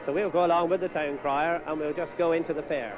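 High-voiced, quick male outside-broadcast reporter. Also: fairly even intonation, dull audio. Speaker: radio reporter. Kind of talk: live outside broadcast interviewing. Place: outdoors at a street fair. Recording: outdoor fair tape with background music.

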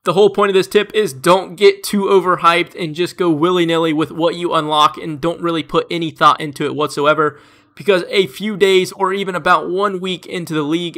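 A man with a stuffed-up nose narrates a game tips video from home. His voice sits high and sounds bright and forceful, with an upbeat, steady delivery from one tip to the next. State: stuffed up, congested. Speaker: gaming video creator. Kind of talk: scripted game tips voice-over.

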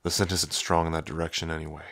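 A man voicing a gruff, protective, hot-tempered werewolf lover in an intimate ASMR roleplay, with a brooding, guarded manner. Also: extremely low pitch, level tone, fast talker, fairly bright tone, no echo, clean studio sound, exaggerated voice. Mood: guarded, weary. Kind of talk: ASMR boyfriend roleplay audio drama.

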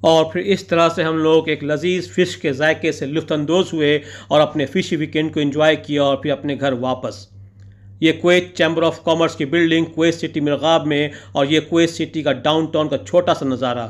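A man's voice: on the high side, steady tone, normal speed. A man narrating his weekend outing in a voice-over, with flowery phrasing, recited couplets and words of praise. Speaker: vlogger narrating a food outing.

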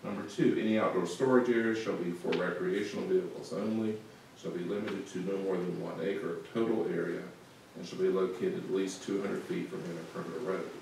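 Southern man, staff presenter, methodical delivery; low gravelly voice, distant roomy recording. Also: steady tone, darkish tone. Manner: measured, reads conditions methodically. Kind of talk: public meeting presentation. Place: large council meeting room. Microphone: room recording from far camera. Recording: echoey room, distant mic, faint noise.

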